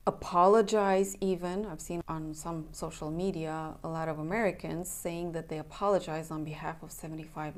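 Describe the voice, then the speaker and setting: A woman's voice: low voice, darkish tone. A young adult woman from Romania, a native Romanian speaker, talking as a somatic coach in an earnest, plainspoken casual announcement. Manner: earnest, plainspoken, checks in with "okay?".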